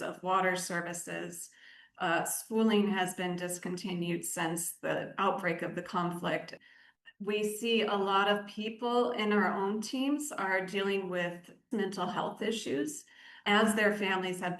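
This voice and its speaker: Lower-pitched woman in an echoey room. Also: fairly bright tone. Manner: measured, articulate, explanatory. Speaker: aid organisation country director, interview guest. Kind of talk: radio interview, explanatory answers. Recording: roomy, echoing sound.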